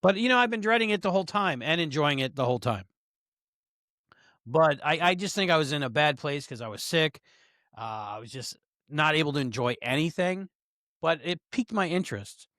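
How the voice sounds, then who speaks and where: A man's voice: fairly high pitch, expressive, fairly quick, sharp tone, no echo, studio quality. A man co-hosting a podcast, chatting casually with a playful manner. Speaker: podcast co-host. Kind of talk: casual chat.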